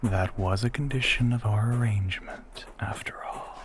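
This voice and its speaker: A man's voice: on the low side, normal speed, noisy background, lightly acted. A man playing an ancient, teasing vampire who poses as a human boyfriend in an audio roleplay, drawling and often slipping toward a whisper. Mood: smug teasing.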